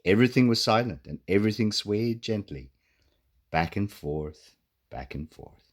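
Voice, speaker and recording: A man reading a children's storybook aloud as an expressive narrator. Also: fairly low pitch, normal speed. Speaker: author reading own children's book.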